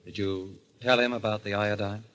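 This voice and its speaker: An actor playing a scheming, smooth-talking husband in an old-time radio mystery, coaxing and insistent in a theatrical way. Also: male voice, lowish voice, fairly even intonation, moderate pace, a bit bright, natural voice. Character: scheming, smooth-talking husband secretly driving his wife mad. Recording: noisy vintage radio recording.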